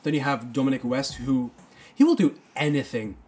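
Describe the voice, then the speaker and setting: A man's voice: medium pitch, moderate pace, a little mellow, breathy edge. A man co-hosting a casual film review podcast, rambling enthusiastically with lots of fillers. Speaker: podcast co-host.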